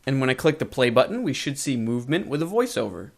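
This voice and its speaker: Lively-pitched male tutorial narrator. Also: quick pace, vocal fry. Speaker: web design course instructor.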